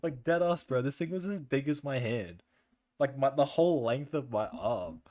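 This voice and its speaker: A young man streaming and chatting loosely with viewers, rambling with lots of "like" and "bro" and frequent laughter, in a soft voice. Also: mid-range voice, animated, normal speed, no room sound. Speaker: livestreamer. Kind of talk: casual chat with stream viewers.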